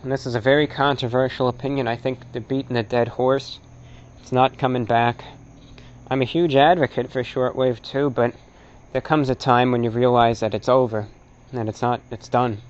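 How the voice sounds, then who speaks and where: A man's voice: mid-range voice, steady tone. American man lecturing, dull lo-fi sound. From North America. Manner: halting, emphatic, repeats short phrases for effect. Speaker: presenter, shortwave radio enthusiast. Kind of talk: unscripted opinionated lecture to camera.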